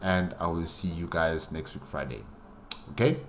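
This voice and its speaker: A man hosting a solo reaction video, talking casually and haltingly, repeating himself for emphasis. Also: sits low, slight background noise. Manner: halting, repetitive, emphatic about doubts. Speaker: reaction channel host.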